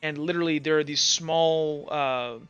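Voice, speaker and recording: A man's voice: a little high, fairly even intonation, normal speed, fairly bright tone, clean tone, dry recording, dull audio, faint music behind. A man acting as game master, improvising tabletop roleplay narration in a casual, loose way, searching for words.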